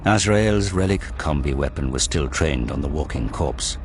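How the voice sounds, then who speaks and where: Low-voiced man narrating dark drama over music. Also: animated, moderate pace. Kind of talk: dramatic scripted audiobook narration.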